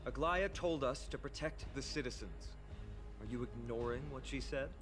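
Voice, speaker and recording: An actor playing an earnest young hero who speaks firmly and directly, in a video game cutscene. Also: male voice, mid-range voice, normal speed, close to an everyday voice. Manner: firm, direct and reassuring. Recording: game audio with music behind.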